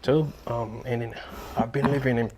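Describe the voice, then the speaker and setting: A man's voice: medium pitch, fairly even intonation, moderate pace, background noise. A man from Haiti, a guest answering interview questions hesitantly with many fillers. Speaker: interview guest, adult English student.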